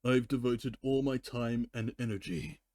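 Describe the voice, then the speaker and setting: A man voicing a weary, sorrowful, ancient female pony in a fan fiction reading, speaking slowly and solemnly. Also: medium pitch, darkish tone, no echo, close to an everyday voice. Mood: solemn regret. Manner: slow, deliberate, solemn.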